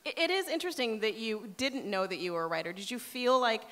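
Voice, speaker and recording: A woman's voice: on the high side, animated, fast talker, background noise. A woman hosting a live radio show, introducing and interviewing guests on stage. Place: on stage in a hall with an audience. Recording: reverberant hall sound.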